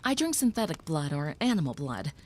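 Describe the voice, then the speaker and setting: A woman voicing a gentle, protective young female vampire in an audio roleplay, speaking softly and reassuringly, sometimes whispering. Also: deep voice, big pitch swings, fairly quick, slight background noise.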